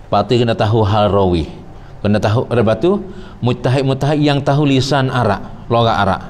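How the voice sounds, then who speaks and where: A man teaching a traditional religious lesson. He explains slowly, repeats phrases and quotes Arabic before glossing it. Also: medium pitch, a little mellow, slight background noise.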